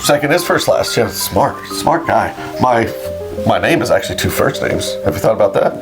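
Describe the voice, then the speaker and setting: A man's voice: on the low side, animated, moderate pace, music in the background. A man co-hosting a casual chat, teasing his partner and rambling through jokes. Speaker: podcast co-host, title company rep.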